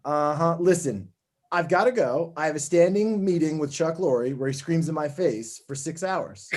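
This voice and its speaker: A man's voice: on the high side, moderate pace, darkish tone, close to an everyday voice. A man playing a crude, bossy TV network boss, blunt and breezily condescending, in a comedy table read. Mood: breezy, self-important.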